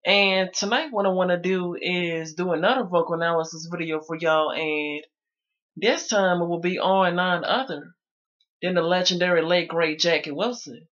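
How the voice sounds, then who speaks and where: A man's voice: high-pitched, steady tone, normal speed, a bit bright, lo-fi sound. A young man talking casually to his viewers from his bedroom, his voice a little strained. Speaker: vlogger. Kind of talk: casual talk to camera. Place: small bedroom indoors.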